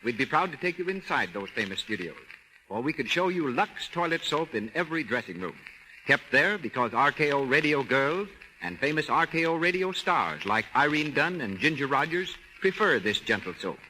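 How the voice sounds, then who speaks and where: A man's voice: fairly high pitch, very animated, normal speed, pushed and bright. A man with an American accent reading scripted advertising as a 1930s radio announcer. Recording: faintly noisy 1930s radio recording.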